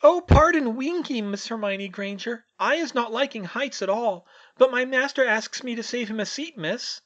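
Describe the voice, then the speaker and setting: A narrator voicing a timid female house-elf with a quivering squeak and broken, deferential grammar. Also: lively intonation, normal speed, lightly acted. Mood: nervous.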